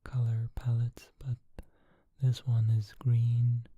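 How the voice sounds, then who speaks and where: Whispering ASMR storyteller, flat and very slow, breathy, dry close sound. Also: mid-range voice, no room sound, lo-fi sound. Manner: whispering, slow and soothing, thinking aloud.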